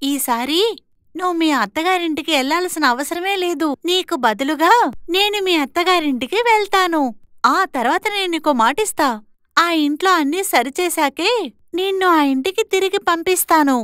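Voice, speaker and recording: An extremely high female voice, recorded dry, playing a confident young woman as a voice actress does her. She is bold and assertive, in cartoon moral-story style. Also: normal speed, fairly bright tone, no echo. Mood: determined. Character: bold, educated young twin sister. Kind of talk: animated moral-story voice acting.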